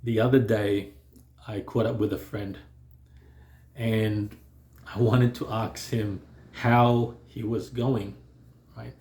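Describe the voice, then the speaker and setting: Man with a stutter and a dark, gravelly voice, unhurried and reflective, recorded in an echoey room. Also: lowish voice. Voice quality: stutters and blocks on some sounds. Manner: calm, measured, with pauses and fillers. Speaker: vlogger sharing stuttering experience. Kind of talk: reflective personal talk to camera.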